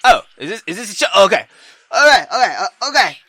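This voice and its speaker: Bright, expressive man reading a visual novel. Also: high-pitched, fairly quick, slightly rough, no room sound. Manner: expressive, theatrical, sweary. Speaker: gaming YouTuber. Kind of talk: dramatic visual novel reading with excitable commentary.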